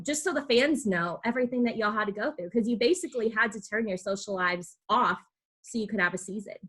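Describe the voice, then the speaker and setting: A woman interviews a guest as a sports podcast host. Her voice is bright and forceful at a middle pitch, and she talks informally, as in a casual conversation. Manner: conversational, informal, uses y'all.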